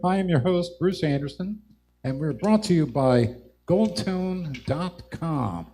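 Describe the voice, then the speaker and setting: A man's voice: on the high side, animated, slow, dark tone, a little hiss or hum. A man casually hosting a live music show. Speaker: host and band singer. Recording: roomy live sound with music.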